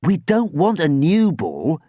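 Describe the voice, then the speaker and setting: A man's voice: sits high, very animated, normal speed, no echo, studio quality, voice pushed up. A man voicing an earnest, tentative, easily worried schoolboy in a children's story.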